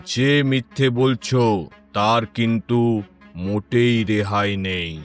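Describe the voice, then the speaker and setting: A stern, shrewd cartoon king, acted by a man, speaks slowly and with deliberate authority. His theatrical pronouncements carry over background music. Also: mid-range voice, clean tone, natural voice. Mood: stern, warning. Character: stern, shrewd king judging a land dispute. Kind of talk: cartoon folk tale acting.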